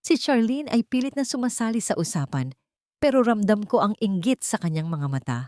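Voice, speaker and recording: A woman's voice: mid-range voice, sing-song, fairly quick, darkish tone, dry recording. A woman with a Filipino accent reading a dramatic first-person story as an audiobook narrator.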